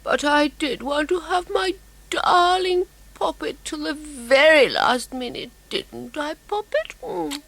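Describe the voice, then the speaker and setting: A woman's voice: high voice, lively intonation, slow pace, gravelly, a bit bright, dry recording, full character voice, low-quality recording. A woman playing a fussy, gushing wife who dotes on her poodle, effusive and fluttery, in a children's audio story. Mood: doting, fussing.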